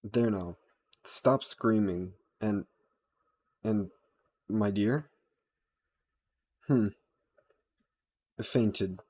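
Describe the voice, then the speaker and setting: Male actor as predatory vampire, courtly, coaxing, lowish pitch, unhurried pace, intimate roleplay audio. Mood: startled, commanding. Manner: formal, gentlemanly, coaxing, unhurried. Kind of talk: scripted roleplay audio, intimate monologue to the listener.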